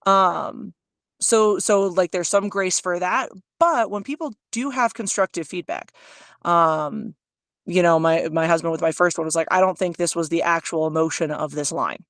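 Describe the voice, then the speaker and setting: A woman audiobook narrator with a low, fry-heavy voice, answering interview questions in a candid, self-deprecating, chatty way. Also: normal speed, fairly bright tone, no echo, clean studio sound.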